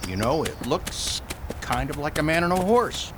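A man's voice: a little high, very animated, normal speed, heavy background noise. A man playing a kindly, folksy frontier doctor in an audio western. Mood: genial, helpful. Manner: genial, folksy. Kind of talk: amateur radio western acting.

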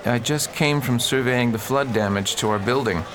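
An actor playing an upright, earnest preacher who pleads and reasons with others in a radio drama. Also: male voice, medium pitch, normal speed, a crowd in the background, lightly acted. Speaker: reverend at a mission.

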